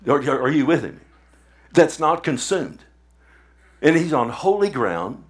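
A man preaching a sermon, animated, often asking the congregation "Amen?" and retelling scripture in his own words. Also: on the high side, slow pace. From Texas.

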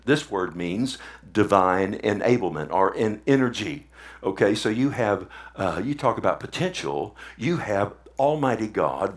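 A man preaching a sermon, animated, often asking the congregation "Amen?" and retelling scripture in his own words. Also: on the low side. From Texas.

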